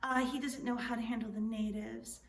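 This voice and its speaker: A woman acts out a snobbish Spanish gentleman from a storybook. He is pompous, boastful and rude, full of self-importance. The recording is roomy, with faint noise behind. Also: very high-pitched, fairly even intonation, normal speed, vocal fry, exaggerated voice. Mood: smug, boastful. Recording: roomy, distant mic, light noise.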